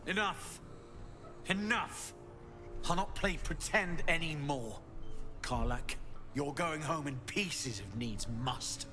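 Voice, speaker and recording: An actor playing a scheming false paladin in a video game, with a theatrical, declamatory delivery. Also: male voice, on the high side, lively intonation, slow pace, pauses often, long reverb tail. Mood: menacing. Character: false paladin, pleading then menacing villain. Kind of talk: dramatic video game acting. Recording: music behind the voice. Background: loud game music.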